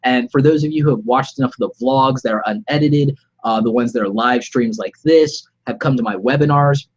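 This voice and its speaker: A man with a cold talks through it on an unscripted live stream, his voice nasal and a bit husky from a sore throat. He speaks in a mid-range voice, relaxed and conversational, offering encouraging advice. The webcam audio is slightly dull and muffled. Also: mid-range voice, a little fast. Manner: conversational, upbeat advice-giving. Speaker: vlogger. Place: home studio room, alone. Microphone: webcam about arm's length away.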